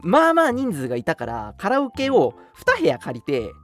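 A young man co-hosting a casual radio chat, telling stories in an animated way and acting out short quotes. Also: high voice, moderate pace. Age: young adult. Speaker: radio show co-host. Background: background music.

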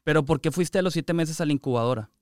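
A man's voice: on the high side, fast talker, dry recording, clean studio sound. A man with a Mexican accent hosting a casual interview in a chatty, conversational way. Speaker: interviewer, host. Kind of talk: casual chat.

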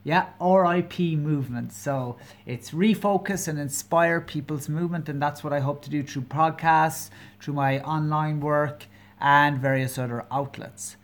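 A man of forty with a Kerry Irish accent, hosting his own podcast alone and talking in an earnest, halting way with many pauses and fillers. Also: a little high, steady tone. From Ireland. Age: 40s. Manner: candid, openly personal. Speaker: podcast host. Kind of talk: solo podcast monologue.

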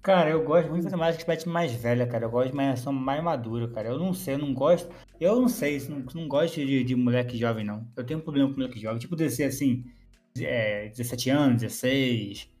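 Young Brazilian man, casual host, rapid speech, frank and swearing, faint music bed. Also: medium pitch, a little mellow. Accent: Brazilian Portuguese. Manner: blunt, rapid, swears freely. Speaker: podcast host. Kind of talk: casual chat.

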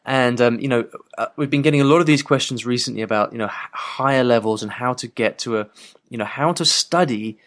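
A man's voice: mid-range voice, fairly quick, fairly bright tone. A man with an English accent hosting a podcast, talking in a loose, chatty way with many fillers and restarts on a poor, broken microphone. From England. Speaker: podcast host. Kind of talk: casual podcast chat. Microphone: broken microphone with poor sound.

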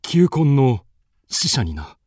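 An actor playing a dignified, lovestruck duke in a radio drama, speaking in a lordly, formal, theatrical and earnest way. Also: male voice, medium pitch, sing-song, takes it slowly, darkish tone, no room sound, clean studio sound.

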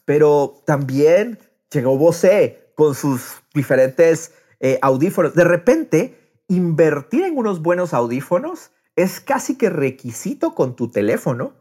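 A man's voice: on the high side, sing-song, a little slow, darkish tone. A man with a Latin American accent chatting casually and expressively on a podcast, often repeating words as he thinks aloud. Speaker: podcast host.

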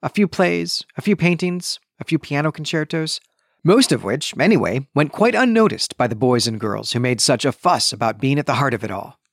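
A man's voice: fairly high pitch, very animated, moderate pace, vocal fry, dry recording, studio quality. A man hosting a book review podcast, speaking in an emphatic, opinionated and conversational way. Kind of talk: podcast book review, reading passages and commenting.

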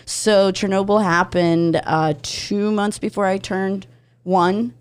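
A woman's voice: mid-range voice, fairly even intonation, takes it slowly, clean tone. A woman in her thirties from Ukraine, a comedian guest telling stories in a podcast studio, chatty with lots of fillers and laughs. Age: 30s. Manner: chatty, laughs often, many fillers and restarts. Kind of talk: casual storytelling chat.

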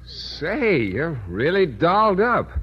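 A bashful awkward teacher. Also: male voice, a little high, big pitch swings, slow pace. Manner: shy, boyish, giggly, folksy slang. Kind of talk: 1950s radio sitcom acting. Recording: muffled old radio broadcast with hiss.

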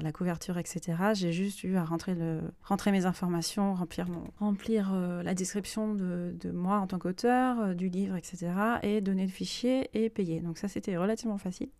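Woman doing a solo podcast, warm dark-ish tone, dry recording, kinda hesitant and reflective, no script. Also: fairly low pitch, no echo. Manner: hesitant, reflective, searching for words. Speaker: podcast host and self-published author. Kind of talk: unscripted personal podcast monologue.

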